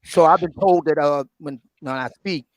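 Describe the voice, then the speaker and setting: Philly man, fifties, slightly high voice, chatty panel host, dry close sound. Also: normal speed, no echo. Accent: Philadelphia. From Philadelphia. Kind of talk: casual livestream chat.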